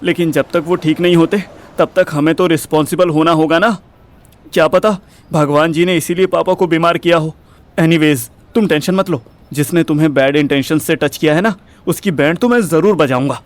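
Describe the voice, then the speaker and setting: Audio drama role: high-pitched young man played by a male actor, frustrated, protective, slangy and wisecracking. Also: moderate pace, natural voice. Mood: anxious, earnest. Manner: slangy Hinglish, exasperated, wisecracking.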